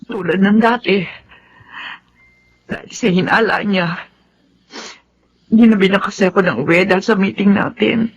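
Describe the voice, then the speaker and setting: A woman's voice: mid-range voice, moderate pace, creaky, pauses often, lo-fi sound. A woman with a Filipino accent acting as a middle-aged, long-suffering wife and mother in a radio drama, speaking earnestly and emotively. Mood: weary, sorrowful.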